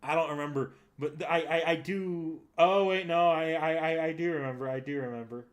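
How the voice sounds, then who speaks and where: A man's voice: a little high, fairly quick. Rambling male livestreamer thinking aloud. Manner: rambling, thinking aloud with frequent self-corrections. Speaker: streamer and host. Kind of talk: casual livestream chat, answering viewers.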